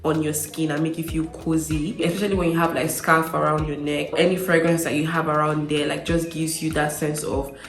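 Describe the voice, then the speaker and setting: A woman's voice: deep voice, level tone, fairly quick, reverberant, faint music behind. A woman chatting casually to camera as a perfume vlogger, slightly nasal as she gets over a cold, stressing her points with repeated words. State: recovering from a cold. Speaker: beauty vlogger presenting perfume reviews.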